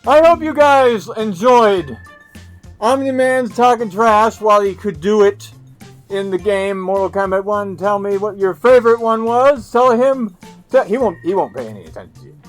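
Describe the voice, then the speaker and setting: A man's voice: high voice, moderate pace, faint music behind, close to an everyday voice. A man playing a cranky, heckling ninja in an improvised comedy skit, sputtering and repeating himself. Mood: chatty.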